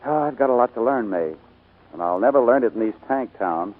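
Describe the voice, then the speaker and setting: An actor playing an earnest, proud young small-town songwriter in 1940s radio style. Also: male voice, medium pitch, expressive, a little fast, natural voice. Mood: earnest, regretful. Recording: tinny scratchy 1940s radio broadcast.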